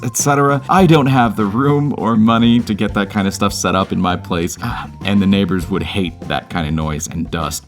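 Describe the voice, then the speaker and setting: A man hosting a do-it-yourself video with casual, wry, self-deprecating voice-over narration. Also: mid-range voice.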